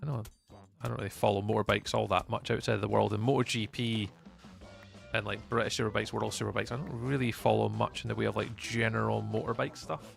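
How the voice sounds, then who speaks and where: A man's voice: lowish voice, steady tone, a little fast, creaky, soft background music. A Scottish man streaming live, chatting casually with his viewers in a rambling way, full of fillers and restarts. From Scotland. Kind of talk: casual chat with live chat while gaming.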